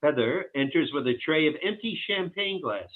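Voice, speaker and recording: A man's voice: on the high side, lively intonation, moderate pace, phone-quality sound. A man narrating the stage directions of a screenplay at a table read.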